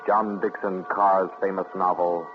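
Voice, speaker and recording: A man's voice: deep voice, flat delivery, a little slow. A man announcing a radio drama in a formal, grandiose 1940s style. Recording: thin, lo-fi 1940s radio recording. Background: loud dramatic music.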